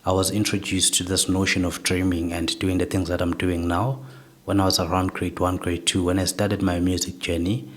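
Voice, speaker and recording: Man, from South Africa, soft low voice, reflective, asks "right?" a lot. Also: level tone, moderate pace. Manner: reflective, often ends points with "right?". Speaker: guest. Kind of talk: personal life story interview.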